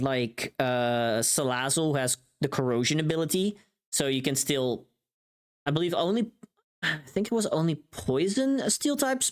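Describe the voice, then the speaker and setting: A streamer with a medium-pitched voice chats casually, rambling and thinking aloud. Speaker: live streamer. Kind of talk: casual livestream chat.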